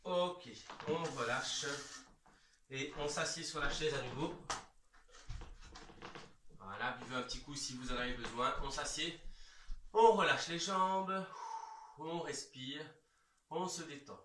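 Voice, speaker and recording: A man in his thirties giving calm, reassuring step-by-step instructions as an exercise coach, recorded in a large bare room from several metres away. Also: on the high side, takes it slowly, long pauses, slight background noise. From Belgium. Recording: echoey room, distant microphone.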